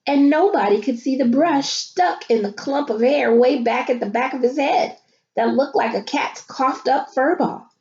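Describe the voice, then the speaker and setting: A woman teacher reading a picture book aloud to children and talking them through it, with expressive, dramatic delivery and drawn-out emphasis. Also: high voice, dull audio. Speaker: elementary school teacher.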